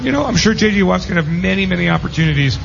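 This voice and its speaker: A middle-aged man talks sports casually, offering firm opinions in short phrases. His voice sits slightly high, and the recording is a little dull, with faint music underneath. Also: lively intonation, racing, creaky, a bit bright. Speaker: sports commentator. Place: live radio broadcast at a casino.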